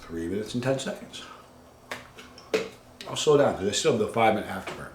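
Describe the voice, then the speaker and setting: A man's voice: lowish voice, expressive, fairly quick, a little hiss or hum. Echoey, casual man hosting a livestream. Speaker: host of a hot sauce challenge channel. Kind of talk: casual livestream talk, reading viewer comments. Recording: roomy, echoey room sound.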